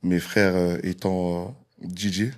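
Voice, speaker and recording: A man from France, a guest DJ in an interview, relaxed and joking, with frequent fillers. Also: sits low, slow.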